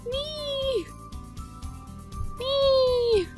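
Woman putting on a squeaky, childlike anime girl. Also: extremely slow, darkish tone, faint music behind, heavily acted. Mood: playful. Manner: cutesy, mewling like a cat. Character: childlike, innocent anime girl who squeaks one syllable.

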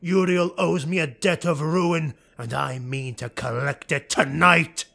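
Man voicing an imperious elven highborn, slow and vengeful. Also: steady tone, creaky, full character voice. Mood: cold, defiant. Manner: imperious, forceful, deliberate. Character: cold, commanding, vengeful male elf highborn lord.

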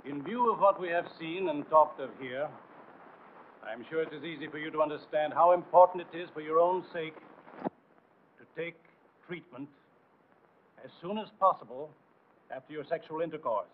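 A man's voice: on the high side, moderate pace, long pauses, slight background noise. Tinny 1940s military training film narrator, male. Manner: authoritative, didactic, emphatic.

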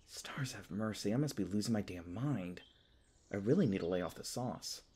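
A man's voice: fairly low pitch, expressive, moderate pace, darkish tone, a little hiss or hum, lightly acted. A man playing a polite, hesitant, folksy elf park ranger in an audio roleplay. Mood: muttering, weary. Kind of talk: ASMR audio roleplay acting.

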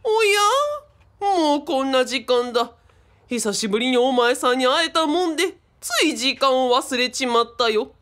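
A woman's voice: sits high, lively intonation, normal speed, fairly bright tone. A woman playing a flamboyant cult founder turned comic storyteller, with showy old-fashioned patter in a radio drama. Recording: music behind the voice.

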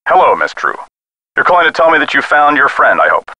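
A man's voice: on the high side, big pitch swings, fast, bright, forceful tone, no room sound, heavily acted, low-quality recording. A man playing a brusque, skeptical police sergeant in a video game, with a dry procedural manner. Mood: businesslike.

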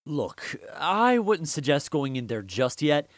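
A man's voice: a little high, sing-song, slow, dry recording. Smirking teen troublemaker played by a man, blunt and scoffing, audiobook drama, very dry sound. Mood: smug. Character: smirking, crude teenage troublemaker high school boy. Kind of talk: audiobook character acting.

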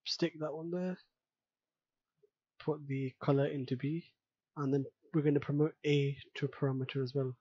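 A man talks through a tutorial in a mellow, slightly husky voice, casually thinking aloud. The recording is dry and somewhat dull, as from a close mic. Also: on the high side, flat delivery, moderate pace, pauses often, no room sound. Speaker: tutorial presenter. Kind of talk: unscripted screen-recorded software tutorial narration.

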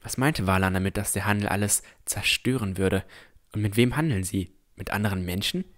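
Man acting earnest boy narrator, cautious, lower-pitched, first-person fantasy audio drama. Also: brisk, a bit bright, lightly acted. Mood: reflective narration. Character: boy Noel, earnest, cautious young adventurer narrating his story.

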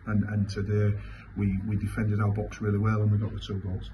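A man, answering as a football manager after a match in halting, repetitive, emphatic phrases. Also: fairly low pitch, monotone, muffled recording. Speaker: football manager giving a post-match interview. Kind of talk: post-match interview answers. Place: small indoor club press room. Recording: clearly noisy room ambience.

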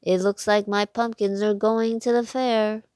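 A woman's voice: mid-range voice, level tone, measured pace, darkish tone, no room sound, studio quality, natural voice. A woman voicing a folksy, mild middle-aged farmer uncle in a children's storybook. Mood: resigned, pleased.

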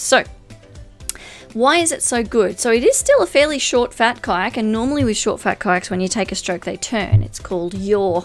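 A woman, talking enthusiastically through a product walkthrough, casual with fillers and asides. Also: medium pitch. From Australia. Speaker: kayak shop presenter. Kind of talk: product walkthrough over slides. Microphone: voice-over.

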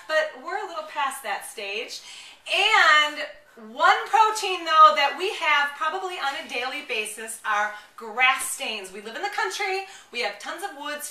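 A woman's voice: sits high, pushed and bright. A woman in her thirties presenting a casual home product demonstration, enthusiastic and chatty as if with children. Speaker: mom blogger presenter. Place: home kitchen, indoors. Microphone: camera a few steps away. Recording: roomy, distant camera microphone.

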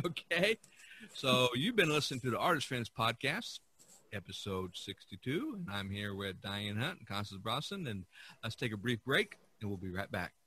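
A man hosting a casual podcast discussion, talking in a halting, rambling way. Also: mid-range voice, sing-song, pushed and bright, breathy edge. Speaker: podcast host.

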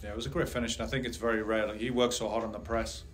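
Middle-aged male coach at a press table mic, even and unhurried, flat delivery, faint room noise. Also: on the low side. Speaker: head soccer coach. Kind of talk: post-game press conference answers. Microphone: table mic on a stand.

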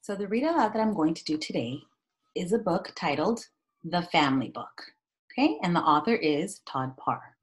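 A woman's voice: on the low side, animated, moderate pace. A woman in her thirties speaking as a teacher to young children, reading a picture book aloud and talking about it in simple phrases. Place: small indoor room at home.

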